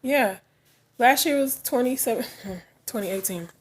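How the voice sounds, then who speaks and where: A woman's voice: a little high, lively intonation, unhurried, husky. Frank, chatty woman in her forties. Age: 40s. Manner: frank, chatty, laughs often, trails off into asides. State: just woke up. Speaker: vlogger. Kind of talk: casual chat to camera.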